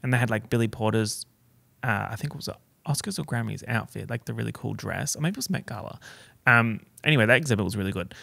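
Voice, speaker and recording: A man's voice: medium pitch, quick pace, creaky, fairly bright tone, dry recording. A young man hosting a casual solo podcast, telling stories in a campy, dramatic, slangy way. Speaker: podcast host.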